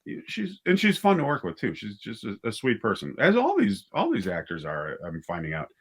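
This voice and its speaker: A man's voice: lowish voice, huge pitch swings, a little fast, husky. A man talking casually as an interview guest, a drama director telling anecdotes in a conversational way.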